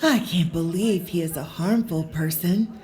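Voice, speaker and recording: An actress playing a tall, gentle young woman who speaks softly, tenderly and earnestly. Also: on the low side, measured pace, natural voice. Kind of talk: audio drama acting.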